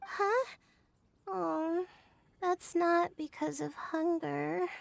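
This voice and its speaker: Meek, sleepy student, very high-pitched. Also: female voice, drawn-out words, gravelly, dry recording, full character voice. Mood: sheepish. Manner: hesitant, timid, drowsy. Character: meek, sleepy, worn-out young female student. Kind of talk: English video game voice acting. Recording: music behind the voice.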